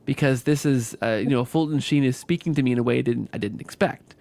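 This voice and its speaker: A man's voice: mid-range voice, fast talker, vocal fry, dark tone. A man hosting a conversational interview, effusive, repeating words and often tagging sentences with "right?". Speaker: podcast host.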